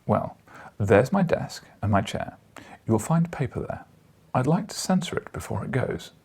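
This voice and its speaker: A man voicing a gruff, sceptical bacteriologist in an audiobook, dry and blunt in manner. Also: mid-range voice, sing-song, normal speed, darkish tone, full character voice. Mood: grumbling. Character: gruff, sceptical male bacteriologist friend at a newspaper. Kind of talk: audiobook character dialogue.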